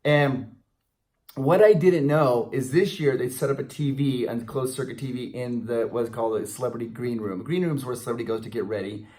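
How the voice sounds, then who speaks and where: A middle-aged man co-hosting a casual fan question chat, telling stories in an animated way. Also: medium pitch, brisk. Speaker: co-host of a theme park fan channel. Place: small indoor room, in front of a banner.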